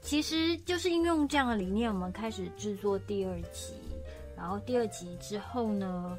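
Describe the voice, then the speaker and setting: A woman's voice: mid-range voice, moderate pace. A young woman, co-hosting a casual chat about her radio drama, laughing often as she talks. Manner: giggly, chatty, laughs often. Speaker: radio drama co-host and voice actor. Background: music.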